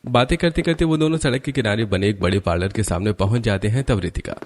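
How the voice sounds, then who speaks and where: A man's voice: on the low side, fast talker, a little mellow, faint music behind. A man narrating a scripted cartoon story.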